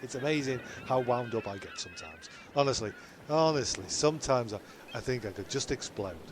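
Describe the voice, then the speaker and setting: A man's voice: medium pitch, a little fast. A man playing scripted comedy with ad-libbed asides, exasperated, stammering and repeating himself. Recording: faint background noise.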